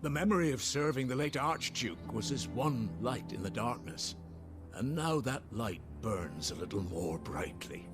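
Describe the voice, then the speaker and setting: A man's voice: medium pitch, expressive, unhurried, music in the background, natural voice. A man acting a weary, deferential villager in a video game, with an earnest and careworn manner. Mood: grateful, wistful.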